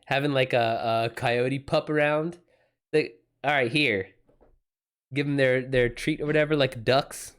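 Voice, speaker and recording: A man's voice: mid-range voice, steady tone, measured pace, a bit bright. A man talking casually as a livestream host, informal and slangy, swearing now and then.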